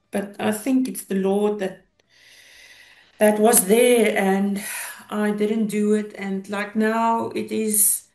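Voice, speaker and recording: A South African woman in her fifties, giving personal testimony in an interview in a halting, reflective way, often pausing mid-sentence. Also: medium pitch, darkish tone. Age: 50s. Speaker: interview guest, police officer. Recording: roomy, echoing sound.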